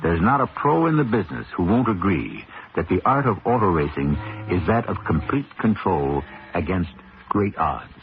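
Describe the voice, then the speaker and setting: A man's voice: deep voice, big pitch swings, moderate pace, highs cut off, slight background noise. A man hosting and narrating a radio drama in a theatrical, rhetorical manner, on an old broadcast recording. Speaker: host and narrator. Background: music.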